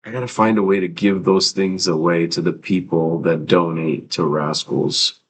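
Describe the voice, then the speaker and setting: A man's voice: low voice, steady tone, normal speed, husky. A man hosting a casual livestream, rambling and swearing freely, with many fillers and restarts. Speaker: livestream host.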